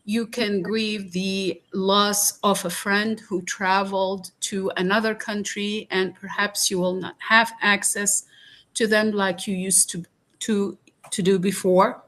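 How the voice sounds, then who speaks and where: A woman from Iraq, a guest on a talk podcast, speaking slowly and earnestly with many pauses and fillers. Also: medium pitch, little pitch movement, a bit bright.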